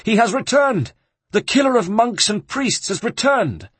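Scared holy abbot, shrill and husky, wailing like demons are after him, storybook style. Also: male voice, big pitch swings, measured pace, a bit bright, no room sound, exaggerated voice. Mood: outraged, panicked. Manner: theatrical wailing and pious exclaiming. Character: panicky, pious male abbot, dreading demons.

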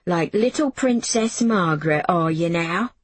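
A woman's voice: fairly low pitch, animated, a little slow, slightly rough, dry recording. A woman voicing an angry working-class English mother in an audiobook, scornful, suspicious and blunt in her colloquial scolding. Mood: scornful outrage. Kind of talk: audiobook character voice.

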